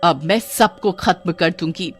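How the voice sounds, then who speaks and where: A vengeful witch bride from a spooky storybook tale. She gloats and menaces in slow, drawn-out threats, breaking into cackles, over a music bed. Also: lowish voice, breathy edge. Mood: gloating, menacing.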